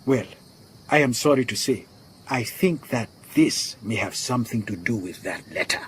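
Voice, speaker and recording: An actor playing a worried, earnest garage owner in a radio drama, with a Southern African accent, speaking gravely and deliberately. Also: male voice, medium pitch, animated, slight background noise, lightly acted. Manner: grave, deliberate, repeats phrases for emphasis.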